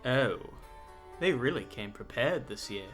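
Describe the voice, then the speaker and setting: A woman playing a snappy, exasperated vampire attendant in an audio drama, bossy and quick to bicker. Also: rumbling low, lively intonation, soft background music. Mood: uneasy. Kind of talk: cinematic audio roleplay acting.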